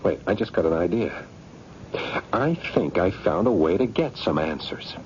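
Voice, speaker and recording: An actor playing an uneasy, skeptical married man caught up in intrigue, earnest and halting, in a 1970s radio drama. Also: low-pitched, animated. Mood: worried, insistent. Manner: earnest, halting when pressed. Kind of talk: 1970s radio drama acting, first-person narration. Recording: dull, faintly noisy old radio recording.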